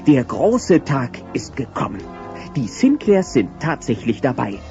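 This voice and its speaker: A man's voice: medium pitch, very animated, measured pace, mellow. A man narrating a children's audio play in an emphatic storytelling manner. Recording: dull cassette with music behind.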